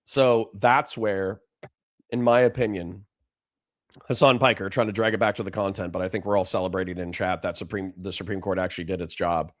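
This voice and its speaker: Guy streaming with a dry flat-ish voice, blunt and sweary, lots of restarts. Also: sits low. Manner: blunt, profane, fast restarts. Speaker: livestreamer reacting to chat. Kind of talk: livestream political commentary.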